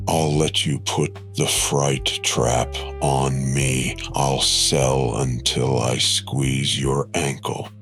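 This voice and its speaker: Menacing old-hand wrestler, cavernous gravel voice, slow monotone, terse, audio drama. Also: male voice, extremely low pitch, faint music behind, pitched below the performer's own voice, heavily acted. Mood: commanding. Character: menacing veteran masked wrestler, proud, hissing.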